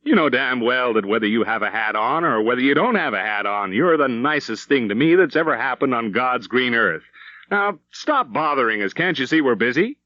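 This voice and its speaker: A man voicing a stubborn, hearty homesteader father with a folksy, forceful, blustering manner in an audiobook. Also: medium pitch, expressive, normal speed, dry recording, dull audio, natural voice. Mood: gruff affection.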